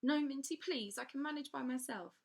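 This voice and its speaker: A woman's voice: medium pitch, a little slow, a bit bright. A woman voicing a frightened but defiant young girl who pleads breathlessly. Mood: pleading.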